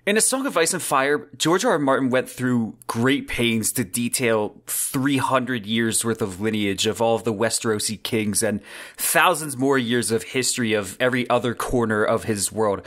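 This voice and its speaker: A man's voice: medium pitch, normal speed, a bit bright. A man hosting a solo podcast, giving semi-scripted commentary in a wordy, enthusiastic, conversational way into a close desk microphone. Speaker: podcast host. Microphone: close desk-mounted microphone on boom arm.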